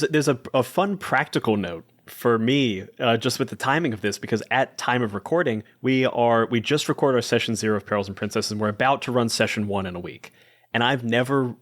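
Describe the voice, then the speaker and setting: A man chatting casually and eagerly as an enthusiastic guest player on a game podcast. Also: mid-range voice. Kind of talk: casual chat.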